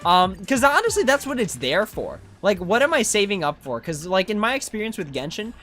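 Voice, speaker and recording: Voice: medium pitch, sing-song, a little fast, a bit bright. A streamer giving casual, rambling, easygoing commentary while playing a video game. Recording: game music behind voice. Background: video game music.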